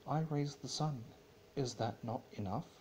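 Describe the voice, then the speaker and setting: A man's voice: medium pitch, measured pace, slight background noise, voice pushed up, close to an everyday voice. A man voicing a grieving, wrathful elder pony princess in a bitter, anguished manner for a story reading. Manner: bitter, anguished, whispering to shouting.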